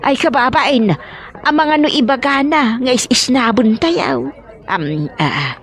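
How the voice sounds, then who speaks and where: A grumbling older Ilocano mother-in-law, played by a woman, gossipy and quick to complain in a comedy drama. Her voice sits fairly high, with an Ilocano accent. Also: lively intonation, exaggerated voice.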